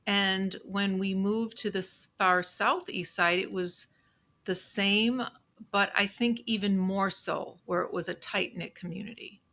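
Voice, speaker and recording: Chicago woman in her sixties, slow unhurried pace, bright tone, soft and measured, pausing often. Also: medium pitch, steady tone. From Chicago, USA. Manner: soft-spoken, measured, with many pauses and "um"s. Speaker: legal assistant and podcast guest. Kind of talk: casual interview chat.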